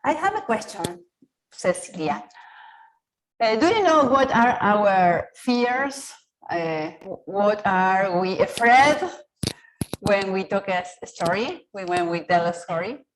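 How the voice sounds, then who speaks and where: A woman moderates an online event in a medium-pitched voice. Her intonation moves up and down a lot, though her phrasing halts and repeats. There is light noise and some clicking on the recording. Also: expressive, slow, poor audio. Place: online video meeting.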